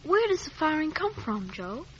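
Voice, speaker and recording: A woman's voice: high-pitched, very animated, normal speed. A woman voicing a timid, polite, frightened young boy in a radio drama. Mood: timid, curious. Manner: meek, anxious, deferential. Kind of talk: 1950s radio drama acting. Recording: muffled, noisy vintage radio recording.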